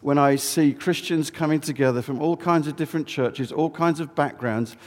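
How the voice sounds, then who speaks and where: A man's voice: fairly high pitch, moderate pace. A middle-aged man from England giving a friendly, conversational address at a church service, with many fillers and restarts. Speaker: guest speaker, charity chief executive. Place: large old church building. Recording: reverberant hall.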